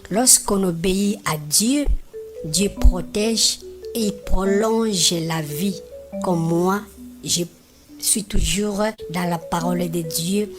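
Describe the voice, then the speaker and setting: A woman voicing a kindly, devout grandmother who patiently teaches her grandchildren in an animated religious film. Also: lowish voice, slow pace, over music. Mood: patient teaching. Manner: patient, instructive, often checks understanding.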